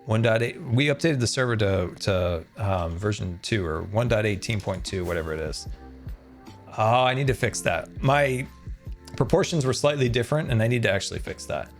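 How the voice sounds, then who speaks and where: A man chatting casually on a game live stream, excitable and talkative, thanking his viewers as he plays. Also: lowish voice, normal speed, faint music behind.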